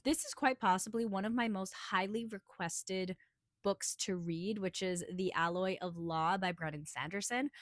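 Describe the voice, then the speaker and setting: A woman with a hoarse voice from a cold, giving a chatty, rambling book review with lots of filler words. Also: mid-range voice, normal speed, dry recording, clean studio sound. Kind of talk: casual book review vlog.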